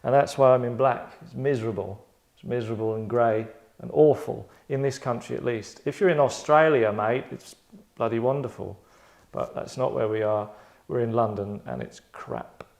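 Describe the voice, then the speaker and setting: A man's voice: medium pitch, normal speed, gravelly, a little mellow. A man hosting a table read, explaining things casually to a group in a sardonic, rambling, mock-dramatic way. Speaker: host directing an actors' table read. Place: London.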